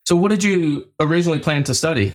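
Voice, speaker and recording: A man's voice: a little high, lively intonation, quick pace. A man co-hosting a podcast, asking questions in a casual, conversational way. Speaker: podcast co-host, interviewer. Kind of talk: casual interview chat.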